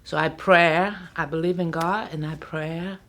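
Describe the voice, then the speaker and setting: A middle-aged woman who speaks English as a second language, talking earnestly in a halting way in a documentary interview. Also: sits low, fairly even intonation, a bit bright, slight background noise. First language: not English. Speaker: interviewee, salon owner.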